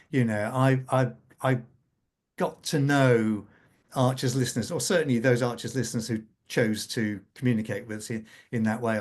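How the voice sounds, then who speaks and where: Man, conversational, emphatic, panel guest, medium pitch. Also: darkish tone. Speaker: radio drama scriptwriter, panel guest.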